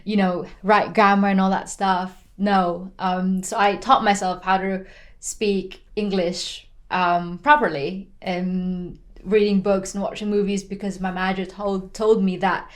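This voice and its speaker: Chatty young woman of twenty as a guest, many fillers, Tagalog speaker, mid-pitched voice. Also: flat delivery, a little slow. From Philippines. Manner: chatty, many "like" and "you know" fillers, laughs often. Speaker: podcast guest. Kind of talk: casual interview chat.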